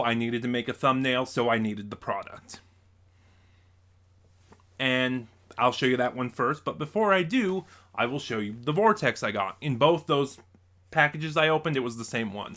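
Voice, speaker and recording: Guy doing a casual unboxing vlog, bright forward voice, rambles and over-explains stuff. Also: mid-range voice. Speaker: YouTube vlogger, collector and reviewer.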